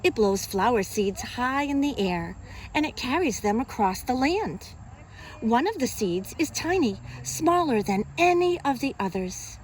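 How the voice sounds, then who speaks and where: A woman reading a picture book aloud to young children as a kindergarten teacher, in an expressive, teacherly way. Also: a little high, measured pace, a little hiss or hum, rough recording. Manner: expressive, simple teacherly delivery with questions to young listeners.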